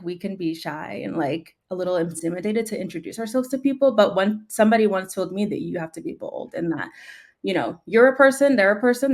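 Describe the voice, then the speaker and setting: A young woman from New York, a panel guest, telling her career story in a chatty, conversational way with many "um"s and "like"s. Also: mid-range voice. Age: young adult. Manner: warm, chatty storytelling with frequent "like" and "um".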